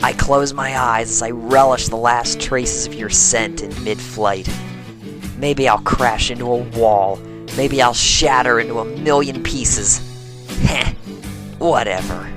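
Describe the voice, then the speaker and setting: A man voicing a brash, lovestruck young female pegasus pony in a strained, raspy voice for a fan fiction reading. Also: medium pitch, music in the background, natural voice. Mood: giddy, dreamy. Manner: halting, gushing, smitten. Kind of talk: first-person fan fiction reading in character.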